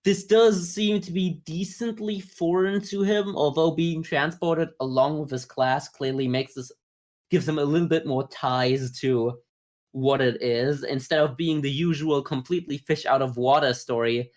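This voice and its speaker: A man co-hosting a podcast, talking through his views in a measured, explanatory way in a casual review discussion. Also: on the high side, fairly even intonation, darkish tone. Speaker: podcast co-host.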